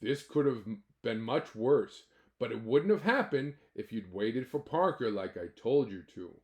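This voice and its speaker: A man voicing a firm ant father in a children's storybook, speaking in a measured, reproving way. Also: medium pitch, animated, close to an everyday voice. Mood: stern.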